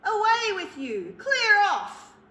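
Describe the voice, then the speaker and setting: A woman voicing a grumpy male bear who shouts and blusters in a storybook reading. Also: very high voice, very animated, slow pace, voice pushed up, exaggerated voice. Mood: furious. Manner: shouting, blustering, later blubbering. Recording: roomy echo.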